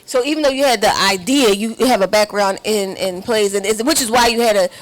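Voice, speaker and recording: A woman hosting a casual interview, playful and quick to banter with and affirm her guests. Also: mid-range voice, low-quality recording. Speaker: interview host.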